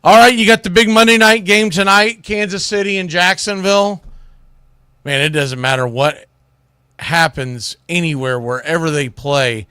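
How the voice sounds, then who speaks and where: A man with a slightly high-pitched voice delivers a sports radio monologue in a dry, close recording. He is conversational and opinionated, leaning hard on key words and pausing for effect. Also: bright, forceful tone, smooth, dry recording. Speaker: sports radio show host. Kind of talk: casual sports commentary monologue.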